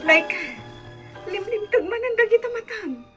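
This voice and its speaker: A woman's voice: very high-pitched, a little slow, music in the background. A Filipino woman playing a protective, exasperated elder sister in a radio drama. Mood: scolding, urging. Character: scolding, protective elder sister urging escape. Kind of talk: Ilocano radio drama acting.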